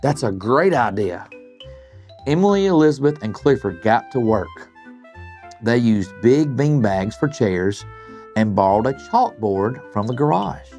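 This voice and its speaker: An older man reading a bedtime story to children, expressive, with chatty asides and questions to his listeners. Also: medium pitch, unhurried, faint music behind. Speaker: guest storybook reader, retired principal.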